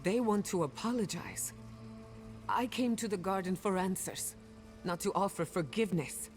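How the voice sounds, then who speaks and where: A woman playing a wounded, sharp-tongued animancer in a fantasy game, emotional and sardonic. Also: medium pitch, moderate pace, faint music behind, lightly acted. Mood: bitter, angry. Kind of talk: fantasy video game acting.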